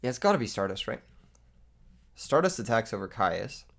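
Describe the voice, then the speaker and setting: A man's voice: lowish voice, big pitch swings, moderate pace, creaky, fairly bright tone. A young man who has lost his voice to illness, joking and laughing as he commentates a card game he is playing for his channel. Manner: joking, self-mocking, laughs often. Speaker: gaming YouTuber. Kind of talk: live gameplay commentary.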